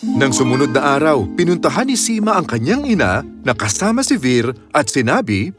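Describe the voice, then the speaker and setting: A man's voice: medium pitch, big pitch swings, moderate pace, music in the background. A man narrating a scripted children's fairy tale with a dramatic storytelling delivery.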